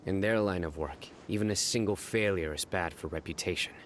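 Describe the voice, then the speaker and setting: An actor playing a grim, stoic young male investigator who speaks in terse, deadpan, measured sentences. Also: low-pitched, slight background noise, lightly acted. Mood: stern. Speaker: stern law enforcer.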